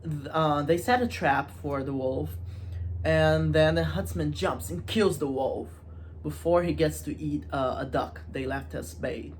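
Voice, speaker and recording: A woman casually retelling and analysing a story in an informal, animated storytelling manner. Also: deep voice, a little slow, a little hiss or hum. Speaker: video essayist and book reviewer.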